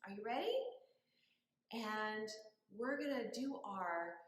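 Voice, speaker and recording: A woman's voice: fairly high pitch, expressive, slow. A woman hosting a children's storytime as a librarian, leading rhymes and stories in an encouraging, sing-song way and speaking directly to the children. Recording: roomy, echoing indoor sound.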